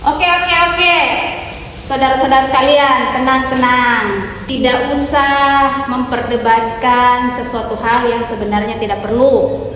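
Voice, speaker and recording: High-voiced woman facilitator, calm and moderating, distant in a reverberant hall. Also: a little slow, slight background noise. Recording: echoey hall, distant mic.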